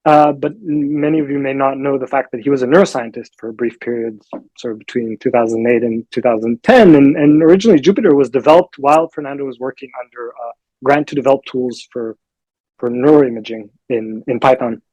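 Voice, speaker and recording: A man's voice: mid-range voice, fairly even intonation, brisk, warm tone, no room sound, dull audio. A man from Israel, a research professor on a panel, thoughtful and often repeating words as he starts a sentence. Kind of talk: casual spoken account.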